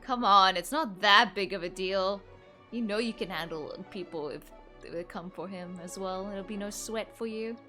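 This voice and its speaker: A woman chats casually over a game, laughing and filling pauses as she reacts. Her voice sits at a middle pitch, with faint game music underneath. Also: sharp tone. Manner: chatty, laughing, filler-heavy. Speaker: gaming streamer.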